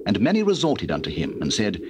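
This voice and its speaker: A man's voice: lowish voice, wildly swooping pitch, a little fast. A man narrating a dramatized Bible in a formal storytelling manner. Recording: noisy old cassette.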